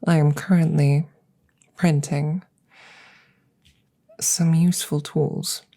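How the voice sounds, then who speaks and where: A woman voicing a curious, cautious alien scientist in a soft, hushed ASMR roleplay, speaking formally and deliberately and slipping into whispers. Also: deep voice, level tone, creaky, full character voice. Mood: calm, matter-of-fact. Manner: measured, formal, deliberate phrasing.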